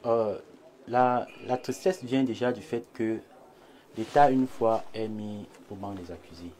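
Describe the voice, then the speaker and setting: A man with a Cameroonian accent gives analytical commentary as a panelist, measured and formal in his wording. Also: lowish voice, darkish tone. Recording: clear background noise.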